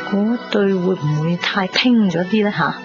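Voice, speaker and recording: A woman's voice: mid-range voice, normal speed, lightly acted. A woman playing a bubbly, vain, chatty young woman with a Hong Kong accent in a 1990s radio drama. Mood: chatty, eager. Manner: chatty, dramatic, many exclamations and particles. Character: bubbly, vain young woman fretting over party outfit. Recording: muffled 1990s radio broadcast under music.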